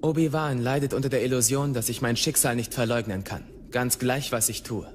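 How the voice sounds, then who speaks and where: An actor playing a restless, frustrated, headstrong young Jedi in a radio play. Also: male voice, mid-range voice, brisk, slight background noise, lightly acted. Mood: resentful, earnest.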